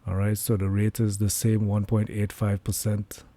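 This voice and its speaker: Hoarse man, low and mellow, flat delivery, relaxed live trading commentary. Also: normal speed. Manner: relaxed, thinking aloud with many fillers. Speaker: trading livestreamer.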